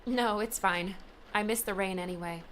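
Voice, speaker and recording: A man voicing a polite, easygoing young woman with a softly raised voice. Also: high-pitched, moderate pace, a little hiss or hum, pitched above the performer's own voice, rough recording.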